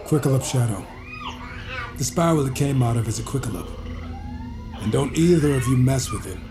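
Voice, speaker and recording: An actor playing a grave, vengeful apothecary in an audio drama, speaking formally and precisely. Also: male voice, mid-range voice, expressive, moderate pace, close to an everyday voice. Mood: cold, vengeful.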